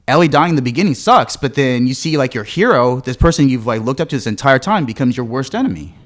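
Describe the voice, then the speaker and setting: Fast-talking man chatting as a guest. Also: mid-range voice, expressive. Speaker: podcast guest. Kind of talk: casual chat.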